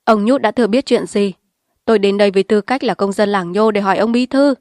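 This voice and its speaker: Woman doing a bold scheming village man, raised voice, forceful, dry close sound, storybook reading. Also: mid-range voice, fast talker, no room sound, natural voice. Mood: defiant. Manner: heated, confrontational.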